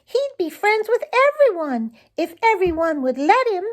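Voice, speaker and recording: A woman's voice: extremely high pitch, moderate pace, pitched above the performer's own voice. A woman voicing a tiny male chickadee in an animal storybook, chirpy and bubbling over with joy. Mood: joyful. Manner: chirpy, excited bird calls.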